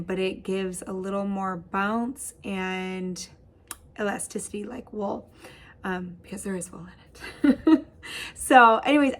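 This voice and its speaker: A woman in her thirties hosting a casual knitting podcast from her home craft studio, chatty and bubbly, with a husky voice. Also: mid-range voice. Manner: bubbly, chatty, laughs often, gestures while talking. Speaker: host. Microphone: webcam framing at desk.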